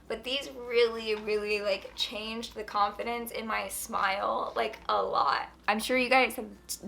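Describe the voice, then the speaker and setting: A young woman with a hoarse voice from a sore throat, chatting casually as she reviews beauty products, full of filler words. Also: fairly high pitch, bright, forceful tone. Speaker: beauty vlogger.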